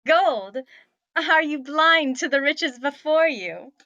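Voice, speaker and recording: A woman's voice: high voice, lively intonation, takes it slowly, bright, forceful tone, dry recording, lo-fi sound, full character voice. A woman at a table read playing an imperious young princess with a haughty, declamatory delivery. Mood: commanding. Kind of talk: table read of a comedy fantasy script.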